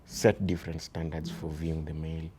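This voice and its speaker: A man with a Kenyan accent and a very deep voice, talking earnestly as an interview guest about raising his sons, often dropping "you see" and shifting into Swahili. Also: flat delivery, fairly quick, a crowd in the background. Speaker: guest, father of boys. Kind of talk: reflective conversational interview.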